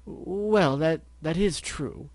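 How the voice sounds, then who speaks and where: A man's voice: a little high, very slow, darkish tone, dry recording, pitched above the performer's own voice. A man putting on a fussy, theatrical unicorn stallion. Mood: prissy.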